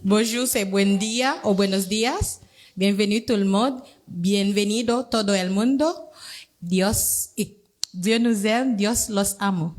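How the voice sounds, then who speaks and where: A woman giving a clear, steady explanation to a church group. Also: medium pitch, takes it slowly.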